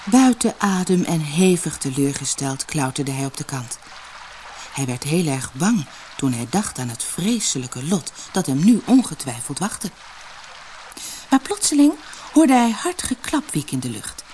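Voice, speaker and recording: A woman narrating a fairy tale for an audiobook in an expressive, dramatic, scripted storytelling style. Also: sits low, measured pace, a little hiss or hum.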